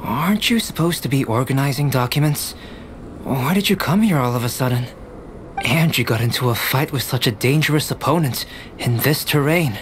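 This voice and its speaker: A man voicing a calm, composed young alchemist who speaks in a measured way in a video game. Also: mid-range voice, slight background noise, natural voice. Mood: reproachful.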